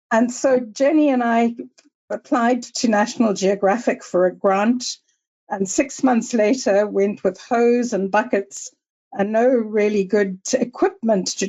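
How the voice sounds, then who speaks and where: A woman in her sixties with a Zimbabwean accent, a researcher answering interview questions in a measured, storytelling way. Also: mid-range voice, darkish tone, highs cut off. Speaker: guest researcher.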